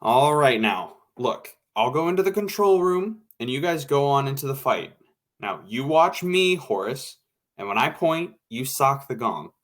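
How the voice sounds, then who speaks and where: An impatient, bossy producer of a radio show, played by a man. The voice is medium in pitch and gives orders in a directing manner. It is acted in old-time 1940s radio style. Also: very animated, unhurried.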